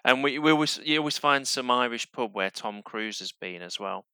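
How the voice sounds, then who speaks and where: A man with a light Yorkshire accent hosting a casual conversational interview, chatty and quick to laugh. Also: mid-range voice, lively intonation, sharp tone, smooth, no room sound. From Yorkshire, England. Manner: chatty, laughs easily, friendly back-and-forth. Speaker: host.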